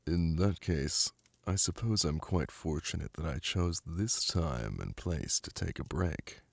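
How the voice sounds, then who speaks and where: A man playing a very deep, gravelly demon prince. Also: animated, measured pace, dry recording, full character voice. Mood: intrigued. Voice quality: gravelly, breathy. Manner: languid, purring, imperious endearments. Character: suave, flirtatious demon prince, lordly and teasing. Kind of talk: ASMR roleplay audio drama. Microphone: close, dry microphone.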